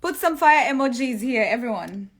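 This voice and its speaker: A woman's voice: fairly high pitch, expressive, normal speed, a bit bright. A woman hosting a casual online live chat. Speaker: host of a live online talk.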